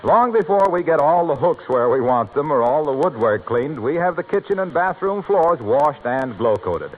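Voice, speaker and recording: A man's voice: a little high, big pitch swings, normal speed. An American man reading an advertisement as a 1940s radio announcer. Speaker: commercial announcer. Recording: tinny, faintly noisy 1940s radio recording.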